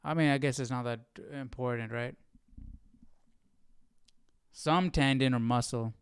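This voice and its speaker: A man's voice: medium pitch, measured pace, clean tone. A man giving casual, informal study commentary, musing aloud as a host. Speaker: Bible study livestream host.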